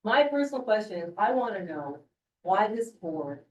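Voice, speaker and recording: A woman with a loud voice pushes hard through a meandering, confrontational comment, clearing her throat as she goes. She is far from the microphone, so her voice carries across a roomy, echoing meeting hall. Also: mid-range voice, big pitch swings, normal speed, highs cut off, slight background noise. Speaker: member of the public commenting. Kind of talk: public comment at a community meeting. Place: community meeting room. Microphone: room mic from across the room. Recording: echoey room, far from mic.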